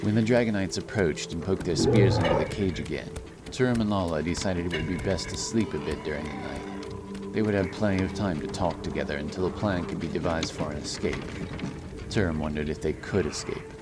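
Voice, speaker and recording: Man, very low voice, solemn measured storytelling, scripted fantasy audiobook narration, loud music behind.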